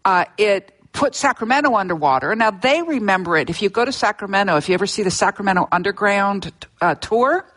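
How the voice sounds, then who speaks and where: An older woman from Southern California, a scientist guest telling disaster history in a relaxed interview, with frequent restarts. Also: mid-range voice, fairly quick. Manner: explanatory, with frequent restarts and fillers. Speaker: seismologist guest discussing her book.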